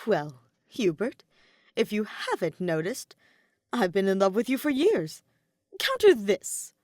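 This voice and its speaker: A woman's voice: mid-range voice, sing-song, slow, darkish tone, no room sound, studio quality. A woman voicing an earnest, spirited young nobleman in love, speaking in an emphatic, formal, teasing way for a story. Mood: triumphant.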